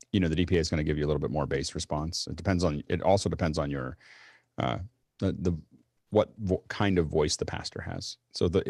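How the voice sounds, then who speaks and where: A man's voice: a bass voice, gravelly, darkish tone, no echo, studio quality. A man hosting a live online tech panel, handing out questions and rambling through answers with many restarts. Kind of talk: casual panel moderation.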